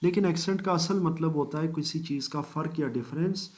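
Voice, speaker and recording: A man teaching a screen-recorded software tutorial, walking through each step methodically with polite asides and English phrases mixed in. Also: a little high, a little fast. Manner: mixes English phrases into speech. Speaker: computer course instructor.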